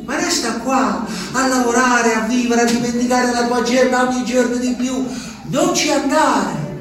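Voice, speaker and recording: An actor voicing solemn olive trees that plead and warn in a slow, drawn-out, insistent way. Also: male voice, very high voice, a bit bright, slight background noise, pitched above the performer's own voice. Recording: very echoey, distant stage sound with music.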